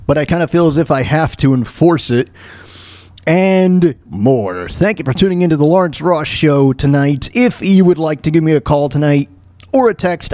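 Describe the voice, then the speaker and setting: A man hosting a casual solo radio show, talking in a halting way as he thinks aloud. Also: fairly high pitch, a little mellow, no room sound.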